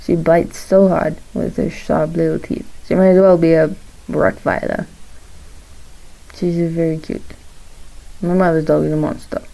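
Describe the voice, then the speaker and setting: Young woman chatting while drawing at home, shy, gravelly voice, keeps pausing and laughing. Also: low-pitched, flat delivery, warm tone, rough recording. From Morocco. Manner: shy, halting, laughs often. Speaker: vlogger, aspiring character designer. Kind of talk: casual chat while drawing.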